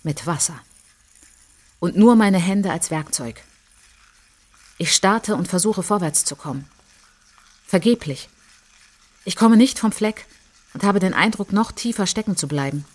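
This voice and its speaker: An actress narrating as a reflective, resolute woman looking back on her childhood in a German radio drama, in measured short phrases. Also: medium pitch, expressive. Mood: tense, matter-of-fact. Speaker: narrator of autobiographical monologue. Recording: music behind the voice.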